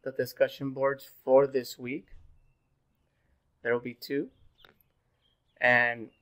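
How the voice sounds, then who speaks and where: A man in his thirties, a little sick and hoarse, giving a pre-recorded online class as instructor, relaxed and full of fillers. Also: mid-range voice, takes it slowly. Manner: relaxed, filler-heavy, repeats "go ahead". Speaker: university instructor. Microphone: webcam in corner of screen recording.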